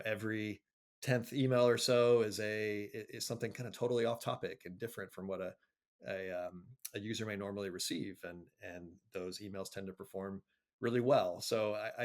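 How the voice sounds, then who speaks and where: A man answering interview questions conversationally, thinking aloud in a measured way with frequent pauses. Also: fairly low pitch. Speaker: interview guest, marketing agency executive.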